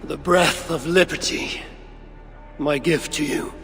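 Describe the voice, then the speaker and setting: A man's voice: a little high, fairly even intonation, takes it slowly, vocal fry, a bit bright, exaggerated voice. An actor playing a wrathful, commanding god and vengeful father in a grand, menacing, theatrical game performance. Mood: imperious. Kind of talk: dramatic game cutscene acting. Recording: long reverb, music behind.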